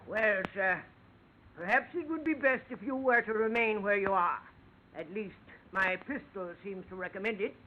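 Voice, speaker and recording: A man's voice: sits high, moderate pace, a little hiss or hum, close to an everyday voice. An actor playing a courtly, shifty ship-salvage man in a 1940s radio detective drama, speaking in florid, mock-polite, orotund phrases. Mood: menacing politeness. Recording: dull, band-limited old radio recording.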